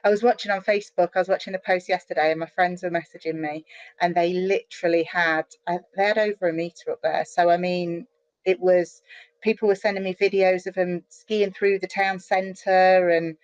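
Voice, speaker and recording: A middle-aged woman from the UK chatting as an interview guest, animated and full of quick restarts and fillers. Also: lowish voice, no room sound, dull audio. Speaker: guest, online marketer. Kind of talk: casual interview chat. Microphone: video call.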